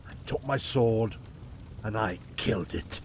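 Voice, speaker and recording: A man's voice: on the low side, unhurried, slight background noise, natural voice. A man playing a haunted aging medieval knight, solemnly narrating his confession in archaic words for an audio drama.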